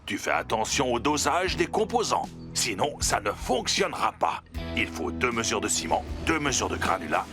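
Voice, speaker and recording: An actor voicing a steady, cautious cement-mixer truck in a children's cartoon, careful and instructing as he explains how things should be done. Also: medium pitch, normal speed, over music, lightly acted. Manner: careful, instructing, fond of tradition. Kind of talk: cartoon dubbing.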